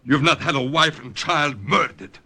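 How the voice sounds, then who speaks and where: Male role: vengeful resistance fighter, very sharp forceful delivery, gravelly voice, vintage radio drama. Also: a little high, animated, normal speed, full character voice. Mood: bitter, vengeful. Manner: forceful, accusing. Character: angry, bitter Italian partisan leader, vengeful and suspicious. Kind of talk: old-time radio drama acting.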